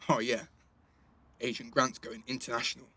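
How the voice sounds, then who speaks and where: A young man playing a cheeky, guarded teenage boy with a slightly husky voice, bantering in slang in a naturalistic audio drama. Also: mid-range voice, level tone, normal speed, pushed and bright, no echo.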